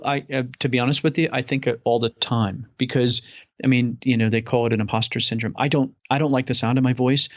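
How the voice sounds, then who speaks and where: A man's voice: medium pitch, no room sound. A man talking as a guest in a casual interview, upbeat, enthusiastic and rambling. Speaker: podcast guest. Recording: dull lo-fi audio.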